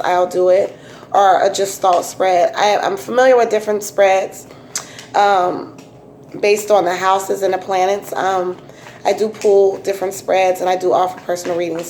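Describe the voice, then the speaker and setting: A woman with a raspy voice giving a casual, chatty tarot reading, full of fillers and restarts. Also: medium pitch, darkish tone, slight background noise. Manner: chatty, repetitive, many um fillers. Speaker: tarot reader.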